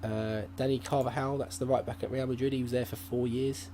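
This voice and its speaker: A man's voice: medium pitch, level tone, fairly quick, warm tone. A man hosting a gaming channel, giving casual, rambling commentary at his laptop with lots of fillers and restarts. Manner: rambling, thinking aloud with many fillers and restarts. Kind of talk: casual let's-play commentary. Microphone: desk mic at a laptop. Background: faint hum from a laptop.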